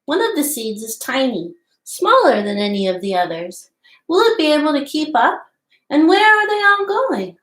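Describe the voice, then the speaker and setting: A woman's voice: sits high, very animated, normal speed, a bit bright. A middle-aged woman hosting a children's storytime, talking casually and reading aloud in simple, explanatory phrases, recorded indoors at home on a computer mic. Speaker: storytime host. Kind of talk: casual show-and-tell and picture-book read-aloud for young children. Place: small home room with wainscot walls, indoors. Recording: echoey room, home webcam.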